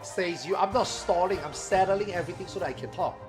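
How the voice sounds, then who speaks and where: A man's voice: high-pitched, fairly quick, music in the background. A man from Singapore with a Singaporean English accent, chatting casually and jokingly with his stream viewers and swearing freely. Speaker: live streamer.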